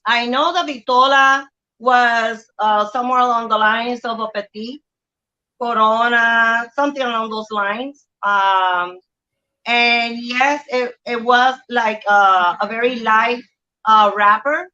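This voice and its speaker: A middle-aged woman with a Puerto Rican accent shares personal memories as a guest. Her voice is fairly high and bright, and she takes her time. The sound is a slightly muffled video call. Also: fairly even intonation, takes it slowly. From Puerto Rico. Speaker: interview guest. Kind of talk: casual personal recollection. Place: outdoor family courtyard. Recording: dull lo-fi video call audio.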